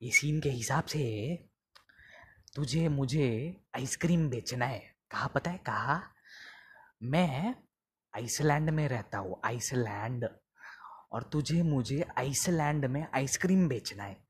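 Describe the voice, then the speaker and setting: Comedy podcast boss played by a man: exasperated interviewer, heavily husky and breathy, mid-pitched, scolding and repeating himself for emphasis. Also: lively intonation, takes it slowly, heavily acted. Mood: slyly challenging. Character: exasperated, impatient male sales boss interviewing a candidate.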